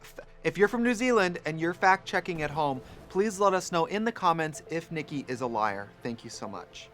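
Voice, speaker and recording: A man hosting a casual chat show, talking in a playful, teasing, quick-witted way. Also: on the high side, animated, fairly bright tone, faint music behind. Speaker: host.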